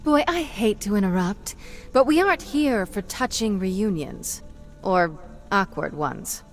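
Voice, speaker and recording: A woman's voice: mid-range voice, lively intonation, measured pace, soft background music. A woman playing a blunt, sardonic adventurer in a video game, with a sarcastic delivery. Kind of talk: video game character acting.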